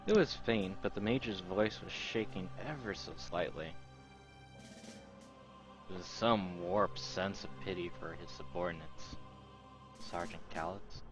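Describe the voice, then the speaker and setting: A man with a deep voice reads scripted narration from a visual novel aloud. Music plays clearly underneath his voice. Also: unhurried, long pauses, lo-fi sound. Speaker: narrator reading translated game text aloud.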